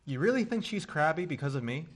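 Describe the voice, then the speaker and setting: A man playing a deadpan drifter. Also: a little high, fast. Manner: dry, deadpan wit. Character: dry-witted drifter, former security man. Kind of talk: radio play acting.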